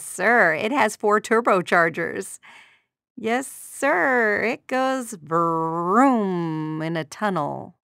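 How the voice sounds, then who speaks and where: An actress playing a courteous but dryly sardonic receptionist at a car dealership, delivering scripted video game lines. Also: female voice, mid-range voice, gravelly, a bit bright, no room sound, studio quality, full character voice. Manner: courteous customer-service formality with sardonic undertone. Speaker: secretary answering customer calls.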